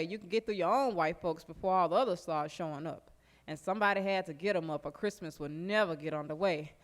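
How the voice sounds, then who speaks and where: A woman playing a lively, sly plantation servant who reminisces in an animated, folksy stage monologue, as if sharing secrets. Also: fairly high pitch. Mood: exuberant. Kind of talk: theatrical folk storytelling monologue on stage. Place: live stage performance in a recreation hall.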